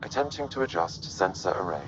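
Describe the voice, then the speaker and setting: An actor playing a calm, courteous artificial intelligence in a sci-fi game, speaking in a measured, detached, formal way. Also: male voice. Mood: calm, clinical. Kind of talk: sci-fi video game acting. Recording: echoey, reverberant, muffled, over humming rumble.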